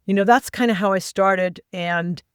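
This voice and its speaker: A woman whose first language is not English, guesting on a podcast and telling her story in a lively way with quoted asides. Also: mid-range voice, normal speed, smooth, no echo, clean studio sound. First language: non-English first language. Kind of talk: conversational interview.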